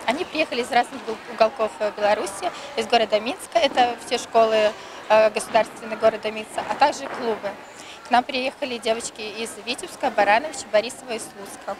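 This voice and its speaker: Woman in her 30s giving tv interview in a noisy gym, voice a bit high. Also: quick pace. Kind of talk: on-camera interview answer. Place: large indoor sports hall. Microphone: handheld reporter microphone. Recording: loud busy sports hall noise.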